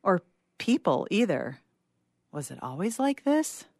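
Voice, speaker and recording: A woman's voice: medium pitch, very animated, measured pace, a little mellow, no room sound, clean studio sound. Woman playing a frazzled, sardonic young student. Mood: frustrated, weary. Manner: rambling, slangy, self-mocking. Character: stressed, sardonic, sleep-deprived young university student. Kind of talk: dramatic monologue reading.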